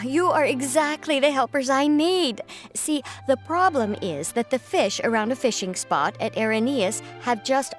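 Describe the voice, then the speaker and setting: A woman playing an earnest, superstitious, excitable association representative in an anime-style video game, with a dramatic storytelling manner. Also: high-pitched. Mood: relieved, earnest.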